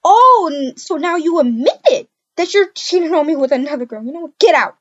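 A woman's voice: high-pitched, lively intonation, brisk, muffled recording. A woman playing a hurt, indignant young mother in an amateur video game roleplay, speaking emphatically and repeating herself. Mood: furious, hurt. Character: hurt, furious young mother kicking out cheating partner.

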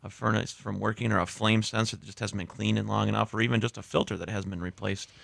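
A man's voice: fairly low pitch, steady tone, fast, creaky, fairly bright tone, no room sound. A man in his thirties answering radio interview questions as a contractor in a small studio, explaining things in a matter-of-fact way. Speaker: guest heating and cooling contractor. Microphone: studio mic with headphones.

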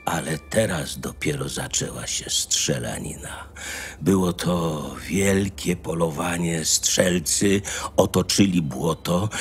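A man narrating a scripted fairy tale as a dramatic storyteller. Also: sits low. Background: faint music.

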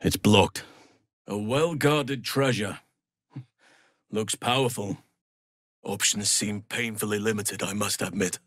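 A low, measured male voice for a solemn elf druid healer in a fantasy game. He speaks earnestly, with formal, careful phrasing. The sound is dry, with no room on it. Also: steady tone, fairly bright tone, dry recording. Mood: measured, reflective. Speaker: video game character voice actor.

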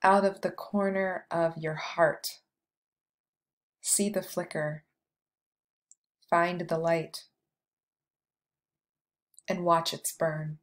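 Soft-spoken lady leading a meditation, lower voice, takes it really slow. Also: level tone. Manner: slow, soft, soothing delivery with long pauses. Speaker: meditation guide. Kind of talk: guided meditation.

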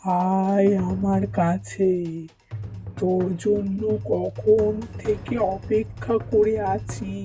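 A woman voicing the eerie ghost of a young woman in a cartoon story, calling in a drawn-out, insistent way. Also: mid-range voice, completely flat delivery, mellow, soft background music, pitched below the performer's own voice. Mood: eerie beckoning.

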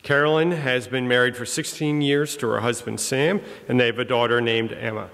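An older man giving a formal introduction of a guest speaker from notes at a lectern, in a hesitant, halting way. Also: medium pitch, steady tone, creaky, a bit bright. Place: large wood-panelled church hall. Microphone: lectern gooseneck microphone. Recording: echoey hall with long reverb.

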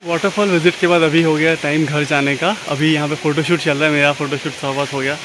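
A man's voice: fairly high pitch, steady tone, fast. A young man, chatting casually as he vlogs a forest trek near a waterfall, holding the camera at arm's length. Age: young adult. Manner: chatty, mixes in English phrases. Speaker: vlogger. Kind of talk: casual travel vlog. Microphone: handheld camera or phone at arm's length. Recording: outdoor noise behind the voice.

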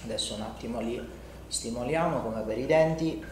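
A middle-aged man talks a patient through a treatment as a chiropractor. He is informal and reassuring, often tagging on little check-in questions. His medium-pitched voice sounds in an echoey room with faint noise behind it. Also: moderate pace. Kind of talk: explaining and instructing a patient during a treatment session. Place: indoor treatment room in a home-like studio.

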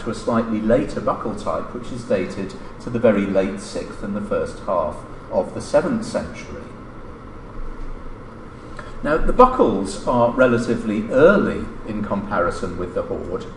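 Man lecturing formally in an echoey hall. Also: lowish voice. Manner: measured, scholarly, pausing mid-phrase. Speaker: academic lecturer reading a colleague's paper. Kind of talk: formal conference lecture read from a paper. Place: indoor lecture hall, at a lectern. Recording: echoey lecture room, distant mic, background noise.

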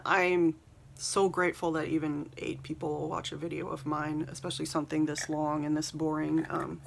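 A soft-spoken woman in her thirties chatting casually while doing her makeup, rambling and saying "um" a lot, recorded on a phone in a small bedroom. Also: sits low, gravelly, slight background noise. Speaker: beauty vlogger. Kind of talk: casual get-ready-with-me chat. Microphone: phone camera at arm's length.

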